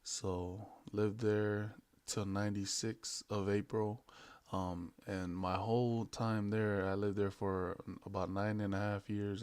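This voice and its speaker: A man in his late twenties with a fairly low voice and very little rise and fall. He talks casually to his viewers in a laid-back, halting ramble. The sound is dry and close, with no room echo. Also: a little mellow. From American Samoa and Hawaii. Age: late 20s. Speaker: vlogger. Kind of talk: casual unscripted vlog chat.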